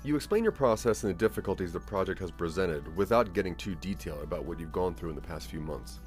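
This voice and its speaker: Low, expressive male story narrator. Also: fast talker, soft background music. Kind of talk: scripted second-person audio drama narration.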